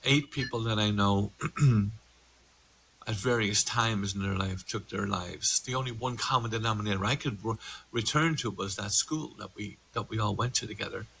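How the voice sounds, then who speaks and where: Older irish guy telling his story, earnest and thoughtful, bright edgy voice, kinda low. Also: creaky, slight background noise, rough recording. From Ireland. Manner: earnest, reflective, slow and fast by turns. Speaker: meditation teacher. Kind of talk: personal storytelling in a group conversation.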